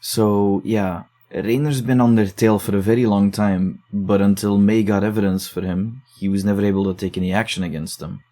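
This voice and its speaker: A man in an audio drama, calmly explaining findings and reading a patient's letter aloud. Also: on the low side, normal speed, few pauses. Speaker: investigator reading case files.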